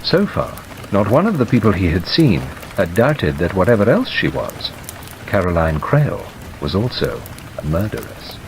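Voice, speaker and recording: A man's voice: fairly low pitch, moderate pace, poor audio. A man reading scripted, dramatic audiobook narration. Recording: steady rain noise over narration.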